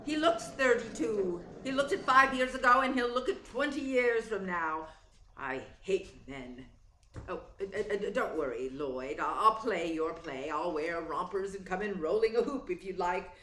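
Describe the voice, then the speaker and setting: An actress playing a proud, middle-aged theatre star in a radio play, dramatic, wry and bitingly sarcastic. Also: female voice, medium pitch, expressive, normal speed, a bit bright, a little hiss or hum, lightly acted. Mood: resigned. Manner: dramatic, wry, sardonic delivery. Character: proud forty-year-old stage diva, theatrical and bitingly sarcastic. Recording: roomy sound with faint music.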